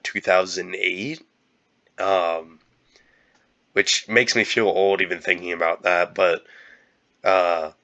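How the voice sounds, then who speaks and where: A young man with a cold, talking in a halting, casual way with many fillers and restarts. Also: sits low, pushed and bright, dull audio. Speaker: YouTuber. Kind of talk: casual year-end vlog.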